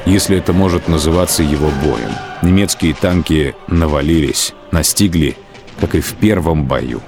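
A man giving a measured, dramatic literary narration of a war story for an audio drama. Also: a bass voice, a little mellow, faint music behind.

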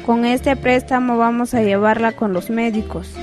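A woman's voice: a little high, steady tone, moderate pace, close to an everyday voice. A woman with a Guatemalan accent acting a worried rural mother who narrates a scripted radio drama. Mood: concerned. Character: worried rural mother telling the family's story. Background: music.

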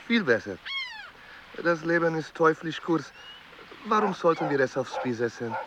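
A man's voice: fairly high pitch, very animated, moderate pace, background noise. An actor playing a cynical, tall-tale-telling river boatman in a radio drama. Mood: knowing.

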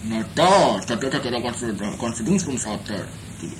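A man's voice: mid-range voice, expressive, moderate pace, natural voice. A man playing a flustered prison official in an improvised comedy radio play, stumbling and searching for words. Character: bumbling, flustered prison official bringing court news. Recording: noisy amateur 1980s tape.

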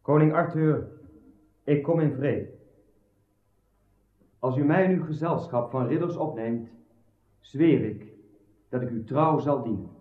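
Earnest young knight played by an actor, echoey. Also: male voice, mid-range voice, unhurried, long pauses, natural voice. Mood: earnest, respectful. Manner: formal, earnest. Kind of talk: radio drama acting. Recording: echoey, muffled narrow-band radio recording.

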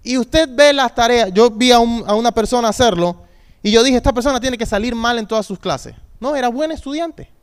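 A man's voice: very high-pitched, fairly quick, clear, resonant tone. A man in his thirties, a youth pastor, preaching a sermon with call-and-response and emphatic repetition. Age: 30s.

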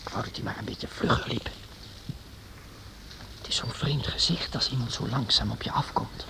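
An actor playing a watchful, bossy young adventurer in a radio drama, with a wary, conspiratorial way of talking. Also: male voice, medium pitch, moderate pace, vocal fry, background noise, lightly acted. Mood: hushed, watchful. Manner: conspiratorial, hushed, giving short orders.

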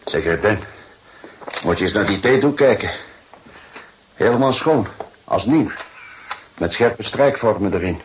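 A man playing a seasoned, drily observant police detective in a radio drama, speaking in a measured, musing way. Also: on the low side, expressive. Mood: sober, businesslike. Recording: muffled radio recording with faint noise.

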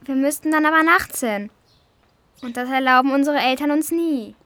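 High-voiced earnest schoolgirl. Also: normal speed, a bit bright. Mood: concerned, eager. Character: helpful, sympathetic young schoolgirl. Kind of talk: children's radio play acting.

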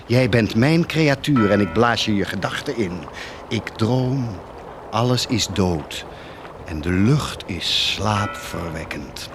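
An actor playing an anguished, guilt-ridden parish priest in a radio drama, solemn, halting and tormented. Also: male voice, lowish voice, lively intonation, music in the background. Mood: feverish denial.

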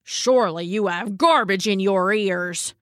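A woman doing a gruff, greedy old dog. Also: medium pitch, unhurried, fairly bright tone, no room sound, clean studio sound, natural voice. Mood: grumpy. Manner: gruff and growling.

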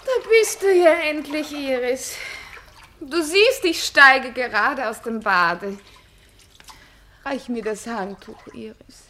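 An actress in a German radio play voicing a vain, pampered dancer with an affected, commanding, gushing manner. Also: female voice, high voice, slow, sharp tone, exaggerated voice.

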